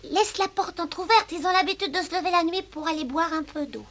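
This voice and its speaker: A woman's voice: very high-pitched, brisk, heavy background noise. An actress voicing a confident, helpful young boy with a matter-of-fact, instructing manner in a French cartoon dub. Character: confident, helpful young boy who cares for bear cubs. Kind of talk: dubbed cartoon acting in French.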